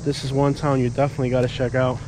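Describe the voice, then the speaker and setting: A man's voice: medium pitch, flat delivery, very fast, noisy background. Rambling man vlogging outdoors. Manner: rambling, chatty, thinks out loud with fillers. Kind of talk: casual walking vlog. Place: outdoors at an empty lot, open air. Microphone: handheld action camera at arm's length.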